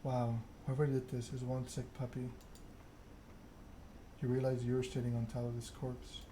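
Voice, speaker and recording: A man's voice: mid-range voice, monotone, normal speed, warm tone. Evenly spoken man narrating, ambient noise behind. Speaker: streamer reading a game aloud. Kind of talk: ASMR narration of a story game. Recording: clear background ambience noise.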